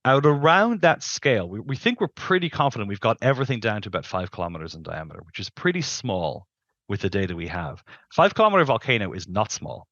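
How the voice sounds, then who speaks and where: A middle-aged man with a fairly low voice talks as an expert guest, explaining in an informal, emphatic way. He is close on a headset mic in a dry space, and the sound is slightly muffled. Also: big pitch swings, fast, fairly bright tone, no echo. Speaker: planetary scientist, interview guest. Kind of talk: explanatory interview talk. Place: indoors on a video call.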